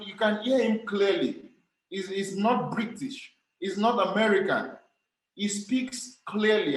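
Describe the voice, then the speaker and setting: A man's voice: high voice, roomy sound, low-quality recording. A Nigerian man with a Nigerian accent giving an earnest, emphatic motivational talk to young people about communication, repeating his key phrases. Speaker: speaker on communication skills.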